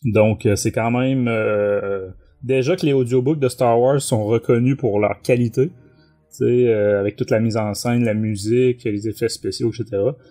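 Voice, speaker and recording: A man's voice: mid-range voice, fairly even intonation, normal speed, mellow. A man with a Quebec French accent, casually discussing a book as a podcast co-host. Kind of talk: casual chat.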